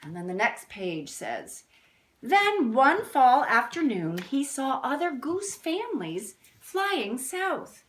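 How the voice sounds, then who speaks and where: A woman's voice: high voice, sing-song, slow pace. A woman reading a picture book aloud to young children, adding chatty explanations and questions as she goes. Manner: addresses young listeners directly with simple explanations and questions. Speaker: children's story-time reader.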